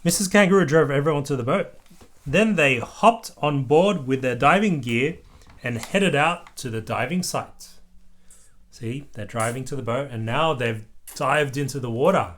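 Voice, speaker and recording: An adult reading a children's picture book aloud, chatting and prompting a small child with simple questions. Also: mid-range voice.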